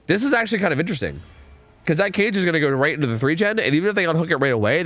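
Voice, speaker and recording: A young man calls a game match on his home stream, and his nose is blocked and stuffy. His speech is quick and bouncy, with his pitch jumping all over. He swears casually and pads his lines with fillers. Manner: excitable, swearing, filler-heavy. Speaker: esports caster. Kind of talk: live game commentary.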